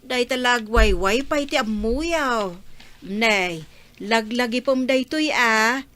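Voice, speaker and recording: A woman's voice: on the high side, slow, a bit bright. An actress playing a scolding, emphatic village mother in an Ilocano radio comedy drama. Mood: exasperated. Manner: nagging, emphatic. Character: scolding village mother nagging her phone-absorbed child.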